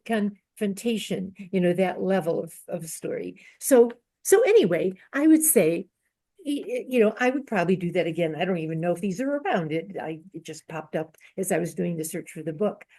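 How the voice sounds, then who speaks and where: Mellow-voiced older woman, reflective. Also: medium pitch, lively intonation, normal speed. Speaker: book club participant. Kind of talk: casual group book discussion.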